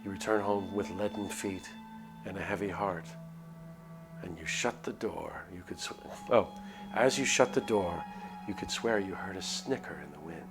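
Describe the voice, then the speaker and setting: A man's voice: deep voice, moderate pace, over music, rough recording. A man reading a visual novel aloud on a live stream, moving between dramatic narration and casual asides.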